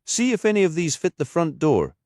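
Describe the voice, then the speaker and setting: A man voicing a gruff, world-weary police detective in an audiobook, snappy, dry and authoritative. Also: fairly high pitch, big pitch swings, brisk, a little mellow, no echo, voice pushed up. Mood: brisk, teasing.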